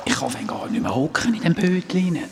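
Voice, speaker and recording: A man's voice: high voice, very animated, brisk, background noise. A man with a Swiss German accent playing a curious, bewildered young adventurer who keeps asking questions in a children's radio play. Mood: curious, puzzled.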